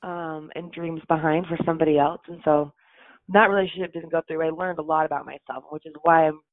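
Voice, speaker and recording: A woman's voice: deep voice, steady tone, a little fast, no echo, phone-quality sound. A woman from Utah chatting casually as an interview guest, laughing often and saying "like" a lot. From Utah, USA.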